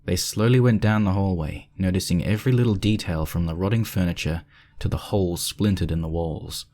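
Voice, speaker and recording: Low voiced guy narrating a story, scripted read. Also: a little fast, warm tone.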